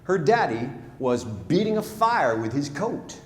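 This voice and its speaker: A man recites rhyming narrative verse on stage as a storyteller, dramatic and deliberate, swelling and then hushing his phrases. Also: on the high side, big pitch swings. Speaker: storyteller performing a narrative poem. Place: large theatre, live stage performance. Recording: live theatre stage, long reverb, noisy.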